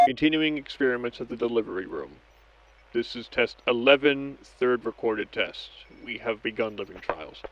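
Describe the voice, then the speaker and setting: A man playing a methodical scientist in an audio drama, narrating each step of an experiment log in a measured, clinical way. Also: mid-range voice, natural voice. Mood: clinical, curious. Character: methodical male scientist doctor logging experiments on tape. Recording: dull lo-fi audio with background noise.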